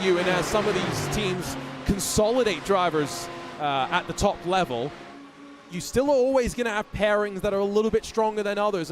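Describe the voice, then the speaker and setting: A man giving live sim racing commentary, chatty and rambling, with fillers and restarts. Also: high-pitched.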